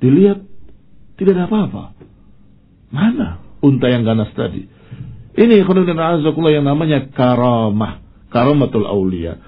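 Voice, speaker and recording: A man's voice: medium pitch, very animated, normal speed, pauses often, breathy edge. A man giving a religious lecture as an Islamic preacher, didactic and emphatic, sprinkling in Arabic phrases. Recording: dull, muffled lo-fi lecture recording.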